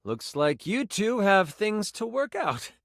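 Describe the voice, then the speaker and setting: An actor playing an excitable, theatrical nerdy game master in a video game, moving between grand narration and casual asides. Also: male voice, high voice, takes it slowly, vocal fry, dry recording, studio quality, full character voice. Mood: narrating. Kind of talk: video game character voice lines, dramatic tabletop narration.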